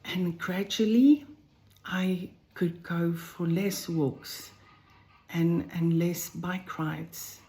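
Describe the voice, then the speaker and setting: A woman with a South African accent, telling her own health story slowly and thoughtfully, with many pauses. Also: lowish voice, steady tone, dark tone. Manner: slow, thoughtful, pausing between phrases. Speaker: vlogger sharing a personal health story. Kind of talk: personal first-person account to camera. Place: home on a farm, roosters crowing outside.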